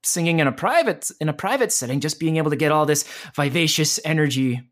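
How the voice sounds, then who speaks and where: This is an enthusiastic man who teaches voice and acts. He talks in bursts of lively energy, often stops to rephrase himself, and sounds easy and conversational throughout. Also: fairly high pitch, fast talker. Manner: energetic and animated, with frequent restarts. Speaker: voice actor and voice teacher. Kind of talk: casual interview chat.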